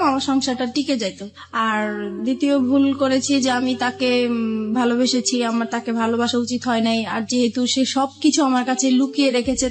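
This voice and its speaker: A woman with a Bangladeshi accent telling her own life story as an interview guest, in a halting, confessional way. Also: high-pitched. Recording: soft music behind the voice. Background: background music.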